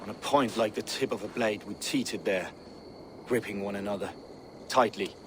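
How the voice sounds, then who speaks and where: An actor playing an intense, devout Viking seer, solemn, poetic and deliberate, in a video game cutscene. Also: male voice, on the low side, noisy background, natural voice. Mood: haunted, reverent.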